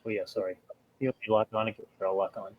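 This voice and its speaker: A man's voice: lowish voice, flat delivery, fast talker, no room sound, lo-fi sound. A man playing a tabletop game, chatting casually as one of the players.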